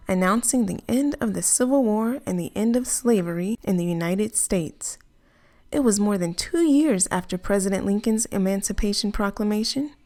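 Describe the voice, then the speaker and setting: A woman reading a book's informative afterword aloud in a steady, plain way. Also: medium pitch.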